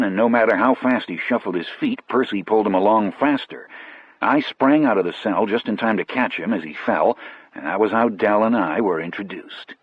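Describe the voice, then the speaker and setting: A man's voice: on the low side, husky, no room sound, highs cut off. A man narrating an audiobook in the first person, as a storyteller.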